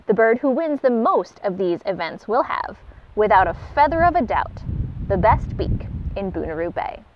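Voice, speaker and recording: A woman voicing a wise old pelican who calmly judges a contest, speaking in a measured, announcing way. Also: medium pitch, a little hiss or hum, natural voice. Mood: judging. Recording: dull lo-fi recording.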